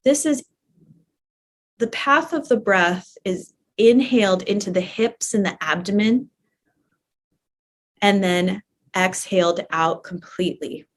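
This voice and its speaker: A woman leads a guided yoga nidra practice as the teacher. Her manner is gentle and permissive, with soft repetitions. She speaks conversationally at a medium pitch, giving calm instruction. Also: slow, long pauses. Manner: gentle, permissive, repeats phrases softly. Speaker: yoga nidra teacher guiding a live class.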